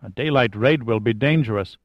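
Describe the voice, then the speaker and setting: A man's voice: medium pitch, brisk, no echo, dull audio, lightly acted. A man voicing a cautious but unafraid militant lookout in an audiobook thriller, blunt and matter-of-fact. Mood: wary, protesting.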